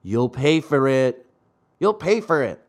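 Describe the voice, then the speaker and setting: A man's voice: mid-range voice, big pitch swings, slow. A man voicing sneering, bullying schoolboys in a storybook, jeering and scolding. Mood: threatening. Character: gang of sneering, bullying schoolboys, threatening and teasing.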